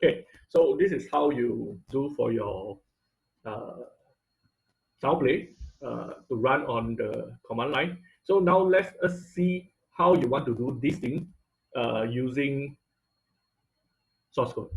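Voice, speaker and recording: A man's voice: medium pitch, animated, slow, creaky. A man teaching an online robotics class, explaining code step by step with frequent fillers and "okay?" checks. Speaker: robotics instructor. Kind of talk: online class lecture with screen share.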